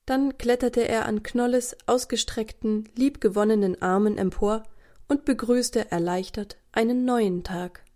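A woman narrating a scripted children's story as the storyteller, in an expressive, deliberate way. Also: a little high.

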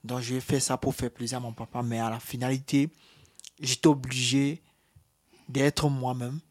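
A man with a Cameroonian French accent answers interview questions in a level, even-pitched voice. He talks hesitantly, filling pauses and restarting his sentences. His pitch sits in an ordinary middle range and barely moves. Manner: hesitant, many fillers and restarts. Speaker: interviewee, asylum seeker. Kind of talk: personal testimony in an interview.

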